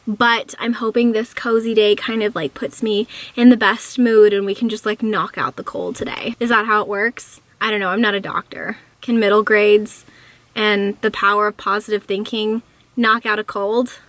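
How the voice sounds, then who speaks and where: A woman's voice: medium pitch, steady tone, normal speed, a bit bright, dry recording. A young woman chatting bubbly and casually to the camera as a book vlogger, a bit sniffly from a coming cold, with lots of "like"s and "um"s. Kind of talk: casual vlog talk to camera.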